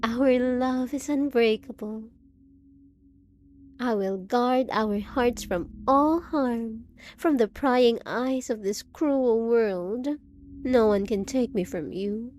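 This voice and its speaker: A woman's voice: a little high, slow pace, darkish tone, a little hiss or hum. A woman playing a possessive, unhinged undead bride in a roleplay audio drama, cooing endearments with theatrical, sinister sweetness. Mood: possessive, adoring.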